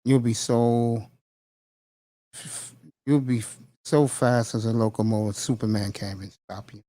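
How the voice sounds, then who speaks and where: A male host in a casual conversation with a mid-pitched voice. He speaks earnestly and encouragingly, often pausing and searching for words. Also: flat delivery. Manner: warm, encouraging, hesitant fillers.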